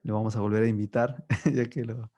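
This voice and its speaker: A man's voice: fairly low pitch, fairly quick. A man hosting a faith podcast in casual chat, hesitant and full of fillers. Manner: relaxed, hesitant, many fillers like "bueno" and "¿no?". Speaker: podcast host.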